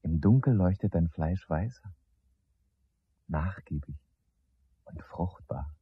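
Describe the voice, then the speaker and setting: An actor playing a wistful man lost in surreal memories in a radio play, with a husky voice. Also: deep voice, animated, takes it slowly, no room sound, heavily acted. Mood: wistful, hushed. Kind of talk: 1970s German radio play acting. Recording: dull, lo-fi old radio recording.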